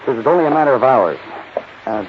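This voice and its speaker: A man's voice: medium pitch, animated, fast talker, natural voice. An actor playing an anxious, puzzled man of a wealthy family in a 1950s radio drama. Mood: anxious, subdued. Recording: dull 1950s radio recording, faint noise.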